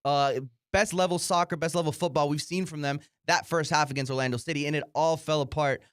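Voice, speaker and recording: A man's voice: a little high, fairly even intonation, brisk, a bit bright, smooth, no echo, clean studio sound. A man giving casual, conversational soccer analysis as a co-host, explaining his points.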